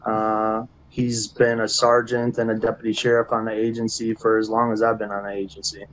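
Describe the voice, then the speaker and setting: A middle-aged man with a Wisconsin accent, a chief deputy sheriff giving short formal sworn answers over a video call, his voice a little raspy from talking all day. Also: lowish voice, completely flat delivery, moderate pace, fairly bright tone, a little hiss or hum, low-quality recording.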